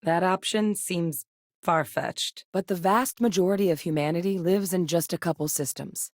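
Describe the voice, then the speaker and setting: An actress playing a composed, duty-driven soldier-scientist in a space video game, with a measured, serious, matter-of-fact delivery. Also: female voice, fairly low pitch, no room sound, studio quality, natural voice. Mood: serious, explanatory. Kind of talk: game character dialogue lines.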